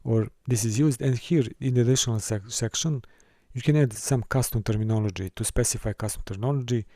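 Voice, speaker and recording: Man teaching a software tutorial. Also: medium pitch, no room sound, studio quality. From Serbia. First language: Serbian. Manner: careful, halting delivery with small restarts and non-native phrasing. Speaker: course instructor. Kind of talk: screen-recorded software tutorial.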